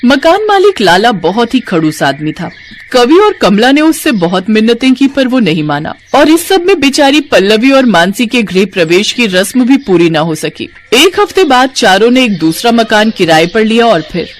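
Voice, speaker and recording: A woman narrating a scripted story as an expressive storyteller. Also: a little high, moderate pace, slight background noise.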